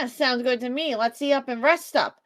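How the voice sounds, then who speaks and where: A woman's voice: high-pitched, unhurried, a bit bright, dry recording, studio quality. A woman voicing a cheerful young female sidekick in an upbeat, breezy way while reading game lines.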